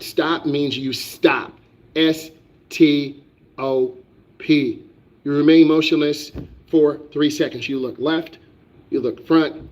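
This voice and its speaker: A man's voice: a little high, a little mellow. Emphatic man, driving instructor. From United States. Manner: emphatic, repetitive, preachy. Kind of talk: live-stream instruction.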